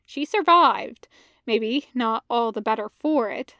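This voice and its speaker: Woman w a high voice reading a story out loud, expressive, really dry recording. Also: slow pace, dry recording. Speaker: podfic narrator. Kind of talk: expressive fan fiction audiobook narration.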